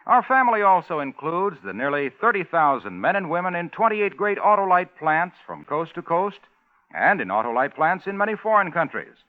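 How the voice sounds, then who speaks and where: Animated 1950s radio ad man. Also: on the high side. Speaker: commercial announcer. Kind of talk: 1950s radio advertising pitch, scripted. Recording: tinny 1950s radio recording.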